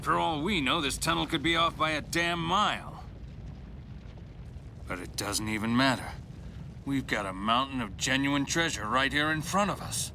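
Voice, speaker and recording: Animated, sarcastic male tank boss. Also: mid-range voice, normal speed. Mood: exasperated, sarcastic. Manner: sarcastic, gruff talk. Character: cocky, sarcastic male tank crew leader. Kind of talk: animated game dialogue. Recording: clear vehicle noise.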